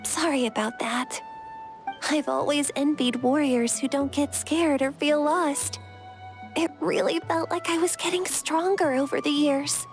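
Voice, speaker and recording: A woman's voice: high-pitched, fairly even intonation, measured pace, faint music behind. A woman playing a brave, protective young warrior haunted by childhood fears in a dramatic video game. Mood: subdued, relieved.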